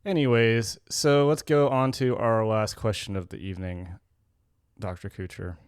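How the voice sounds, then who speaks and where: Young male podcast co-host, low and gravelly, rambling and casual. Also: steady tone, normal speed, no room sound, studio quality. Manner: rambling, self-correcting.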